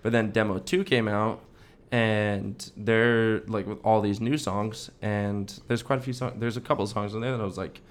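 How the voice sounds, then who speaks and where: A man's voice: lowish voice, steady tone, moderate pace. A young man co-hosting a podcast, chatting casually in an informal, slangy way. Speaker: podcast co-host. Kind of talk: casual chat.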